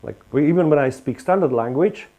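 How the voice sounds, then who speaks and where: A man's voice: a little high, expressive, fairly quick, warm tone. A man with a Slovak accent gives a university lecture, talking in a hesitant way with frequent restarts and fillers. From Western Slovakia.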